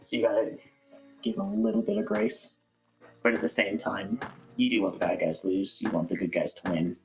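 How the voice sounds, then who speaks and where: A man with a low, creaky voice answers questions in a casual interview. He is thoughtful and hesitant, picking his way through his answers. Music plays behind him. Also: huge pitch swings, fairly quick, poor audio. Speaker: interview guest, amateur voice actor. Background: background music.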